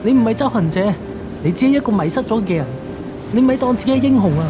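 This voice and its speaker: An actor with a Hong Kong Cantonese accent playing an earnest, righteous police investigator, stern and moralizing in a radio drama. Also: male voice, high voice, expressive, fast talker, over music. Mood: urgent, pleading.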